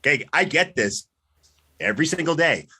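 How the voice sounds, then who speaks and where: A man's voice: on the high side, lively intonation, moderate pace, sharp tone, breathy edge. A man making a comic improvised argument as a debate contestant, emphatic and mock-serious in short punchy phrases.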